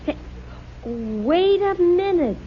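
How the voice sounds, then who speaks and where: A woman's voice: very high-pitched, expressive, very slow, heavily acted. A woman playing a sensible, managing housewife and mother in a 1950 radio family sitcom. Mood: scheming, determined. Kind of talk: 1950 radio situation comedy acting. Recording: dull, faintly noisy old radio.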